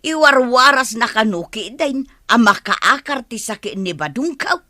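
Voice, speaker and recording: A woman acts an eager village busybody in an Ilocano comedy drama. The voice is fairly high and bright, recorded close and dry. She confides gossip with an Ilocano accent. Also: expressive, unhurried, dry recording. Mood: confiding.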